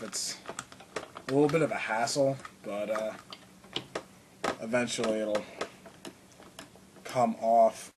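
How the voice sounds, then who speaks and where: A man's voice: medium pitch, background noise. A man casually walking through a hands-on tutorial, rambling with fillers and restarts, and coughing now and then. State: occasional cough. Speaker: video tutorial maker. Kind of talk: casual how-to demonstration.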